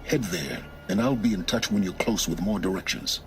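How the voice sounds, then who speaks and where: A low voice over clear music, as a cryptic middle-aged informant in a video game. He is imperious and measured, a schemer who gives orders and keeps secrets. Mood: measured, scheming. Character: secretive, commanding male informant serving his own interests. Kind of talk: dramatic video game acting. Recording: music behind the voice.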